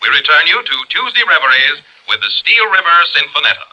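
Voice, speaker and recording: An actor playing a formal 1950s radio news announcer, heard as if through a radio speaker. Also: male voice, on the high side, sing-song, fast, bright, forceful tone, dull audio, exaggerated voice. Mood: urgent, formal.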